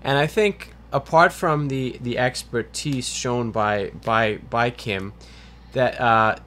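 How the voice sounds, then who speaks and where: A man co-hosting a review show, giving conversational criticism in a measured, analytical, wordy manner, with noise behind him. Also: medium pitch, fairly bright tone. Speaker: film review show co-host. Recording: clear background noise.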